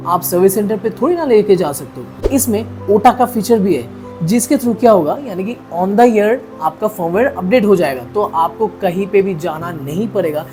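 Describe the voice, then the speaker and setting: A man's voice: high-pitched, a little mellow. An Indian man talks through a product review in a casual, explanatory vlog style. He slips English phrases into his sentences as he goes. Faint music plays behind his voice. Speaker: vlogger reviewing motorcycle gear.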